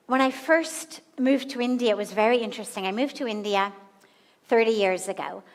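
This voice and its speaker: Woman in her fifties, American spiritual teacher, higher voice, unhurried teaching in a reverberant hall. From United States. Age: 50s. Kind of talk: satsang talk to an audience.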